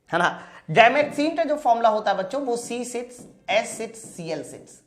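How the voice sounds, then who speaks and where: In an echoey room, a woman teaches a science class in a fairly high voice. She puts questions to her students, answers them herself and drills the key points by repetition.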